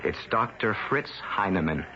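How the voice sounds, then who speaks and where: A man's voice: lowish voice, animated, normal speed, noisy background, natural voice. An actor playing an earnest newspaper reporter in a radio drama, pressing his point and tripping over his words. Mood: urgent. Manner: insistent, stumbles over words. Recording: dull lo-fi old radio broadcast.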